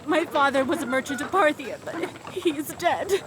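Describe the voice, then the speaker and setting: An actress playing a desperate, devout young merchant's daughter in an audio drama, earnest and imploring. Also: female voice, high voice, moderate pace, background noise, natural voice. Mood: earnest, frightened. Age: young adult.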